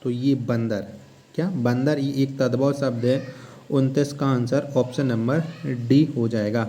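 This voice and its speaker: Guy doing exam coaching, soft mellow voice, goes thru questions n repeats the key bits. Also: medium pitch. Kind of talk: question-and-answer lesson narration.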